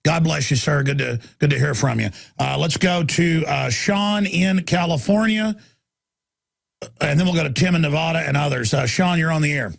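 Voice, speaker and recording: A man's voice: a little high, normal speed, creaky, no echo, studio quality. A man hosting a call-in radio talk show, talking emphatically in long rambling runs and often repeating his words.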